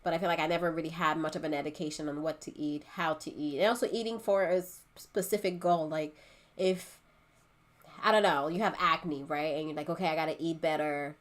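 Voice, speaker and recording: A pregnant woman from Haiti in casual conversation, chatty and quick to laugh. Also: sits low, steady tone. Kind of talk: casual chat.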